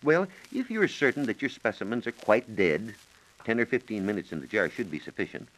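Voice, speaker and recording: An American man giving measured, precise instructions as a science reporter on a scripted 1940s radio program. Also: on the low side, lively intonation, a bit bright, rough recording. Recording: 1940s radio broadcast recording.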